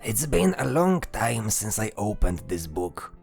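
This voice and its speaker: A man voicing a gentle old monster uncle who talks soothingly to a child in a fantasy audio drama, with a husky voice. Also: on the low side, big pitch swings, heavily acted. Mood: kindly, unhurried. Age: older. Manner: soothing, reassuring, speaks slowly to a child. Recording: music behind the voice.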